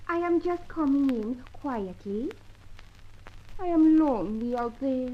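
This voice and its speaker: High, coy French dancer girl. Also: animated, slow, heavily acted. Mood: pouting. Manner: coy, pleading, broken English. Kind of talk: old-time radio serial acting. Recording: dull lo-fi old radio recording.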